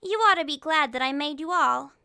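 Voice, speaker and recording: High-pitched boy, bright, blunt, excitable, storybook. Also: a little fast, gravelly, full character voice. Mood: indignant. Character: plucky boy, young, indignant.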